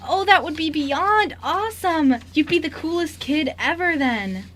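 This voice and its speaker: A woman playing an eager, lonely five-year-old girl in an amateur radio drama, earnest and slightly halting. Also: sits high, lively intonation, fairly bright tone. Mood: delighted. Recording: noisy amateur radio recording.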